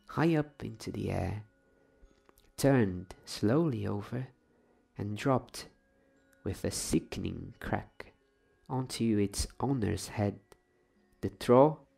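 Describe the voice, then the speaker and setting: Low, gravelly man reading a bedtime story. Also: darkish tone. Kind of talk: ASMR bedtime story reading, soft-spoken narration.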